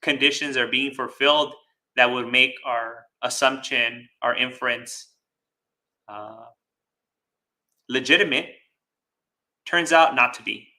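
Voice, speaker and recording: Bright, forceful man teaching Buddhism. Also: medium pitch. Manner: deliberate, pausing, repeating phrases for emphasis; checks in with rhetorical "right?". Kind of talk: dharma talk with live chat questions.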